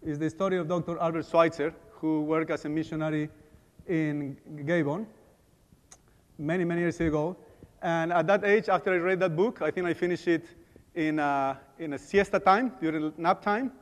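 Man speaking in a hall. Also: fairly high pitch. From Argentina. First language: Spanish. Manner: halting, many fillers and restarts. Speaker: dentist giving a talk. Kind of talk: conference talk with prayer. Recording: echoey hall.

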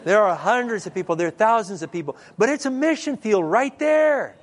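A middle-aged man, a pastor, telling stories in a sermon and acting out the dialogue in a lively way. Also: high voice, brisk. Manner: lively storyteller who acts out dialogue. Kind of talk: storytelling sermon. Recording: long reverb in a large hall.

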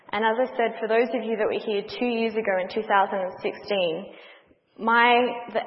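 An Australian woman in her thirties, praying and then giving a personal testimony as a guest speaker, in an earnest, measured way. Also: mid-range voice. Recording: dull audio with long hall reverb.

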